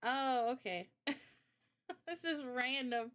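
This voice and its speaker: A woman's voice: high-pitched, slow, a crowd in the background. A woman guesting on a livestream as an illustrator and game developer, talking casually into her mic.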